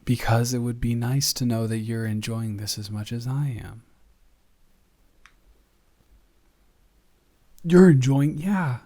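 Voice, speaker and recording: A man playing a teasing, affectionate young roommate who gets easily flustered, speaking haltingly and conversationally in an intimate improvised audio roleplay. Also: medium pitch, warm tone, natural voice. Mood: playful, tender. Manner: halting, conversational, self-correcting.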